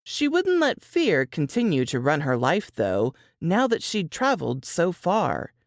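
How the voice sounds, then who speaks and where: A woman reading scripted fiction as an audiobook narrator, in an expressive storytelling style. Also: on the low side, unhurried, dry recording, clean studio sound.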